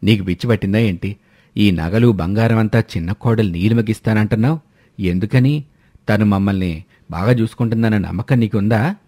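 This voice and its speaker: A man's voice: on the low side, a little fast, warm tone, dry recording. A man voicing an elderly father-in-law, a prodding, conniving husband in a cartoon moral story.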